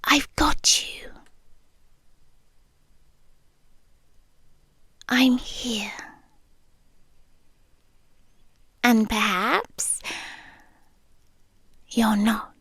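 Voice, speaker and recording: A British woman playing a centuries-old, possessively devoted monster girlfriend in an intimate ASMR roleplay, speaking softly and often slipping into breathy whispers. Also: fairly high pitch, extremely slow, no echo, clean studio sound, full character voice. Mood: gentle, possessive.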